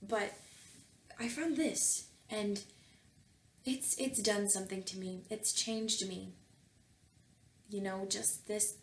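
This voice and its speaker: A woman's voice: medium pitch, slow pace, pauses often, a little hiss or hum. A woman reading fan fiction aloud and commenting on it casually, with a tongue-in-cheek, mock-earnest manner. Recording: roomy echo, music behind.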